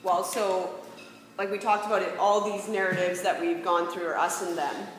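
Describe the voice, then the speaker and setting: A woman's voice: lowish voice, steady tone, normal speed, soft background music. A woman giving an informal, reflective talk, often posing rhetorical questions. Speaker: speaker presenting ideas on faith and peacemaking. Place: large reverberant room. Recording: very echoey hall, faint background noise.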